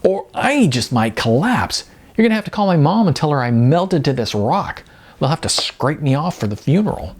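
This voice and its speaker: Playful, dramatic young woman, voiced by a husky man. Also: fairly high pitch, very animated, moderate pace, voice pushed up. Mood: dramatic complaint.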